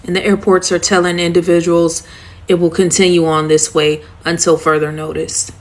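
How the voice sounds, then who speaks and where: A woman's voice: lowish voice, flat delivery, moderate pace. A woman giving informal commentary to camera, blunt and exasperated, in short punchy sentences.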